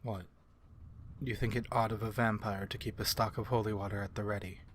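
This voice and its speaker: A man voicing a courteous, learned vampire in an ASMR fantasy roleplay, speaking formally and with measured, archaic phrasing. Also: on the low side, fairly even intonation, slight background noise, natural voice. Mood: composed, scholarly. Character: courteous, learned, human-friendly male vampire curse-breaker.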